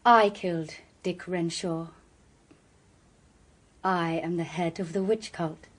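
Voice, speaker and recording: A woman's voice: lowish voice, steady tone, takes it slowly. An actress playing a seductive, coldly amused murderess who leads a witch cult, in a silky, taunting radio drama performance. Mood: composed, confessing. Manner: silky, taunting, breathy asides.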